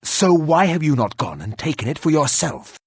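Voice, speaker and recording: RPG revenant lead: sardonic, stern, formal, raspy voice, very dry close sound. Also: male voice, on the high side, very animated, moderate pace, a little mellow, dry recording, full character voice. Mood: stern, terse. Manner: stiff, formal speech without contractions. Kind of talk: fantasy game character acting.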